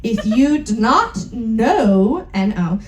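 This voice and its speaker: High-voiced woman doing a comedic dramatic reading. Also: very animated. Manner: exaggerated emphasis, deliberate mispronunciations, joking asides. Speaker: performer reading aloud on stage. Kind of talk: comedic dramatic reading of bad fan fiction. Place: indoor event stage on a cruise. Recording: roomy live venue, faint background noise.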